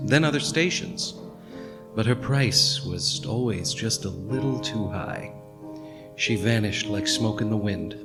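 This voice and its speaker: A man's voice: medium pitch, lightly acted. A man playing a wistful narrator looking back, in scripted radio drama narration. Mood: wistful, grave. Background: plucked guitar music.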